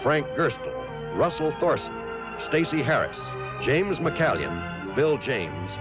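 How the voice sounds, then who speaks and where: A man's voice: mid-range voice, big pitch swings, slow pace. An American man announcing a 1950s radio show in a formal scripted style. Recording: muffled vintage radio recording with music.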